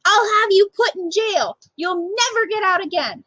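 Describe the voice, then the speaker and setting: Angry storybook mother, played by a woman reader. Accusing, threatening, high and swooping. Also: expressive, moderate pace, pushed and bright, dry recording, exaggerated voice. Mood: furious, threatening. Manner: indignant, accusing.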